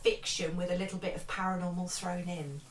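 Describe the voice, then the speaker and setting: A woman's voice: fairly low pitch, steady tone, fairly quick. A woman interviewer asking casual, conversational questions. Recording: echoey room, audible background noise.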